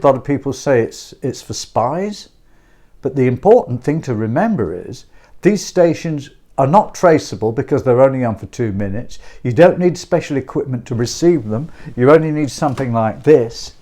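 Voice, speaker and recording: A man's voice: medium pitch, a little mellow. Chatty man presenting a hobby video. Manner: chatty, short repeated phrases, rhetorical questions. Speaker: YouTube host and presenter. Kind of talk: informal explainer to camera about a radio hobby.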